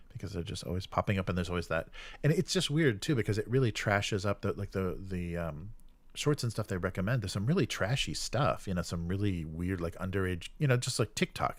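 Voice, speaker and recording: Guy with a low voice hosting a livestream, kinda rambly and halting, very dry sound. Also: no room sound. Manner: hesitant, rambling, trailing off mid-sentence. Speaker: live stream host. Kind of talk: casual live stream chat.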